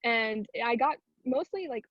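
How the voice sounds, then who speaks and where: A woman's voice: a little high, lively intonation, unhurried. A young American woman in her late teens chatting casually and informally about herself, with frequent filler words like a student. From Houston, United States. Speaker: singer and narrator being interviewed. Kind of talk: casual chat. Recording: dull, roomy sound.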